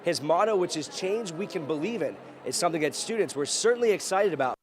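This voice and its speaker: A man's voice: a little high, lively intonation, fast, a little hiss or hum. A young man with an American accent reads scripted news in a formal newscaster delivery, as a reporter and anchor. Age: young adult.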